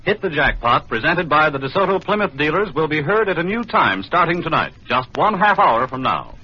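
Animated vintage radio announcer, man. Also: on the high side, a little fast, breathy edge. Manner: formal, declamatory. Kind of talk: 1940s scripted radio announcing. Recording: dull old radio broadcast, music behind.

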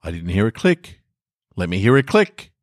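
A man voicing an unflappable, stubborn getaway driver in a story, firm and deadpan. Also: deep voice, normal speed, no room sound, clean studio sound. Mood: unbudging.

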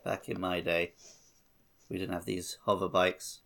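A man with a low voice and a heavy creak of vocal fry speaks as a podcast co-host. His delivery is conversational and informal. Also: little pitch movement, unhurried, background noise. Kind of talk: casual gaming podcast chat.

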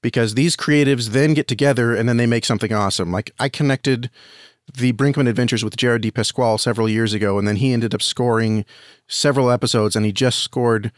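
A man's voice: mid-range voice, fairly even intonation, a little fast, dry recording, clean studio sound. An American man giving earnest, enthusiastic conversational answers as a guest in an interview. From United States. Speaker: podcast guest, audio drama reviewer and podcaster.

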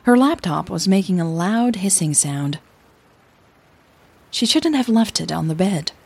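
Creaky-voiced woman storyteller, slow and low. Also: lively intonation, a little mellow. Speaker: podcast host and storyteller. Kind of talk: scripted audio drama narration.

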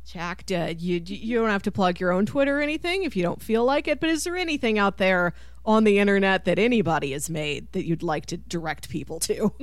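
A woman's voice: mid-range voice, lively intonation, brisk, no room sound. A woman hosting a podcast, chatting casually about pop culture and laughing readily. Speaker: podcast host.

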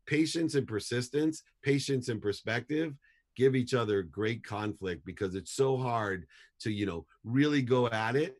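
A man's voice: medium pitch, unhurried. A man in his fifties, a guest speaker, telling emphatic motivational stories and repeating key phrases for punch. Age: 50s. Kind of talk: energetic motivational storytelling.

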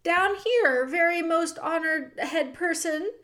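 A tiny brave mouse speaks up in a high voice, formal and pleading, eager to be heard. A woman puts him on while reading a storybook aloud. He is earnest and insistent. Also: slow pace, fairly bright tone, full character voice.

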